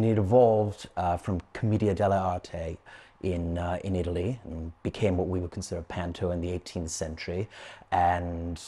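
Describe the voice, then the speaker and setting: Male interviewee, thirties, low husky voice, soft-toned, conversational, slightly hesitant explanations. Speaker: gallery founder, interviewee. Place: dark indoor exhibition room.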